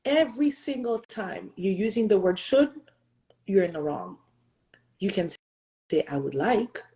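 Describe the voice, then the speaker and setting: An earnest woman in her thirties gives a motivational talk with a mellow, warm tone. She leans on emphatic rhetorical questions to push her listeners, and now and then slips into French and Haitian Creole. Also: mid-range voice, animated, measured pace. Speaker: host.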